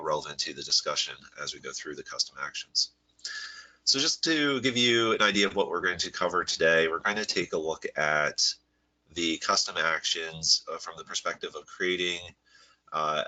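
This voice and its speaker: A man with a low voice and a sharp, forceful edge talks through a live software webinar. He has a head cold that makes him a little nasal, and he explains in a loose, rambling way with plenty of "uh"s and restarts. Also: rough recording. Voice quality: slightly nasal. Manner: relaxed, rambling, filler-heavy explaining. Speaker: software workshop presenter. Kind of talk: live webinar walkthrough with screen share.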